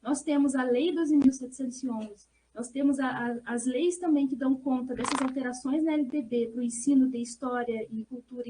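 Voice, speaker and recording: A woman's voice: high voice, warm tone, rough recording. A woman with a Brazilian Portuguese accent giving an earnest, discursive explanation as a researcher on an academic panel. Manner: earnest, discursive, often checks with "né".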